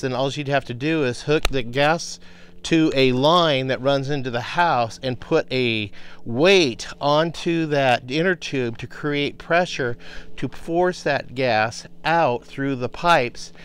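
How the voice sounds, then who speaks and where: A man in his fifties explaining things casually to the camera outdoors, in a halting, thinking-aloud way. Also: mid-range voice, a bit bright, clear, resonant tone. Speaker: homestead vlogger. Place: outdoors in a grassy rural yard.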